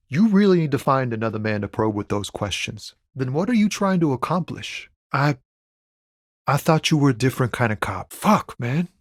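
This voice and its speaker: A man's voice: medium pitch, dry recording, close to an everyday voice. Man playing a laid-back trucker poet, close dry sound. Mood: defensive, frustrated. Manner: laid-back, slangy, musing, with frequent "man". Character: laid-back, philosophical truck driver and aspiring poet-musician. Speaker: video game voice actor. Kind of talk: conversational video game character dialogue.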